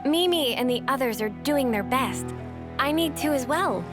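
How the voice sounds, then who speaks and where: A woman's voice: sits high, lively intonation, normal speed, music in the background. An actress playing a modest, eager but unsure young female fighter in a video game, speaking hesitantly and deferentially. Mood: modest, admiring. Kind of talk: video game cutscene acting.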